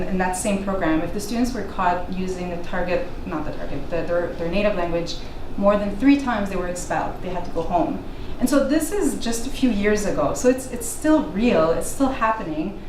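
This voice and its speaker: A middle-aged woman whose first language is French, giving an earnest academic talk to an audience with frequent small restarts. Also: mid-range voice. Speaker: guest lecturer. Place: lecture room. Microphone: room mic at a distance. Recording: echoey room from far off, noisy.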